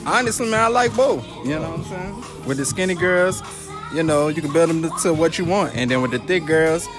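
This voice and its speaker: A man's voice: fairly high pitch, a little fast, music in the background. A young man giving casual, slangy interview answers inside a store. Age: young adult. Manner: slangy, repeats "you know". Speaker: shoe store employee being interviewed. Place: clothing and shoe store.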